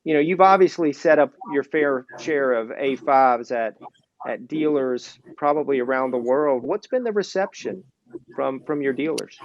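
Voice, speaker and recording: A man's voice: medium pitch, lively intonation, normal speed. A man interviewing someone in a casual, conversational way, building up gradually to his questions, on a slightly muffled recording. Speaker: interviewer, audio magazine editor.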